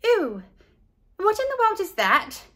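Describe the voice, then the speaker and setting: A woman puts on a squeamish little schoolgirl from a storybook. She is high and swooping, with slow, disdainful, snooty delivery. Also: huge pitch swings, a bit bright, exaggerated voice. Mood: disgusted.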